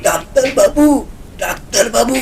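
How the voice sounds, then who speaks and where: Man putting on a high, agitated villager voice. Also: expressive, moderate pace, creaky, a little hiss or hum, exaggerated voice. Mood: urgent, alarmed. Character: agitated male villager bringing alarming news. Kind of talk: cartoon horror story acting.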